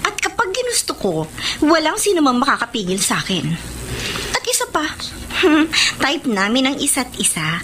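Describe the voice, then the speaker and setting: High-pitched, spoiled young Filipina heiress. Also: female voice, wildly swooping pitch, normal speed, a bit bright, slight background noise, exaggerated voice. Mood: defiant, breezy. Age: young adult. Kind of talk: Filipino radio drama acting.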